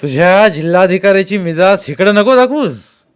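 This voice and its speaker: A man voicing an aggressive, coarse masked gang ringleader in an audiobook, shouting insolently and barking orders. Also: high-pitched, animated, normal speed, clear, resonant tone, voice pushed up.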